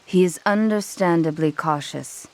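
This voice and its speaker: Low-voiced, ruthless crime boss. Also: female voice. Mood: businesslike. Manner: measured, formal, curt. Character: cool, commanding female crime boss, ruthless. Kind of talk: video game cutscene acting.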